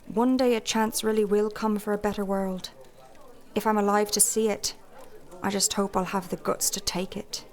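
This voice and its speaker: Lady playing a female tavern keeper, shrewd, candid, folksy and thoughtful, medium pitch, fantasy radio play. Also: darkish tone, close to an everyday voice. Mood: reflective, sombre. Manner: plain-spoken, folksy, thoughtful. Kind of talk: fantasy audio drama acting.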